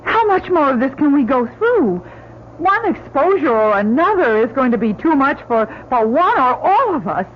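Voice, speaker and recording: A woman's voice: high voice, sing-song, normal speed. A woman playing a plucky, wary, resourceful astronaut in an old-time radio drama, plain-spoken and questioning. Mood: worried. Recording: dull old radio recording, faint noise.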